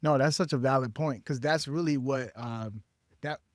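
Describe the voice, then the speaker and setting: An American radio interviewer from Minnesota asking questions casually and haltingly, often searching for words. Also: mid-range voice, dry recording, clean studio sound. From Minnesota, USA.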